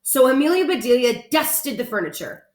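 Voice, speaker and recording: A woman speaking as an elementary teacher, expressive and encouraging, in simple words for children and their families. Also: high-pitched, normal speed. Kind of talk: children's read-aloud with casual talk to families.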